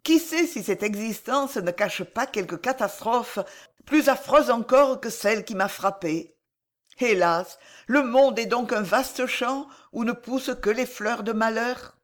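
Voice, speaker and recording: A gentle noblewoman and mother, acted out by a female reader, speaks with solemn tenderness. Her formal, earnest delivery carries grief and dignity, in the manner of a dramatic historical audiobook. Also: medium pitch, a little slow, pitched above the performer's own voice, lightly acted. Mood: sorrowful musing.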